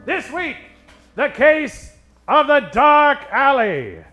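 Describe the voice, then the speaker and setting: A man's voice: very high voice, very animated, pushed and bright. A man narrating a tongue-in-cheek 1940s-style radio detective show, melodramatic, drawing out his punchlines. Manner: theatrical, melodramatic, drawn-out punchlines. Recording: music playing behind the voice.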